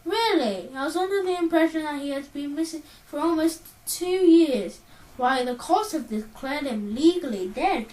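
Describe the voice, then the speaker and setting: A woman voicing a calm, pointed adult male psychiatrist who asks measured, probing questions, in a storybook reading. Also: sits high, voice pushed up. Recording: roomy, distant mic.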